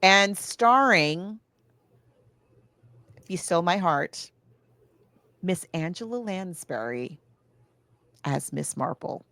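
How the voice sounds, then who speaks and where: Female podcast co-host, lowish voice, dry close sound, gushing and enthusiastic in casual chat. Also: expressive, takes it slowly, pauses often, pushed and bright, no room sound. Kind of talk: casual film and book discussion.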